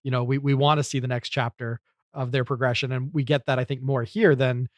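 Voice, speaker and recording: A man's voice: mid-range voice, little pitch movement, a little fast, no room sound, studio quality. A man co-hosting a podcast, discussing film casually in a thoughtful, explanatory way. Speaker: podcast host.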